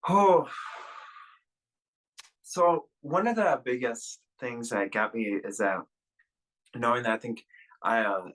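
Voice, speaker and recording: A young man, talking as a guest on a video call in a candid interview, rambling and halting with many fillers and restarts. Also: medium pitch, a bit bright, slight background noise, poor audio. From Russia. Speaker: podcast guest.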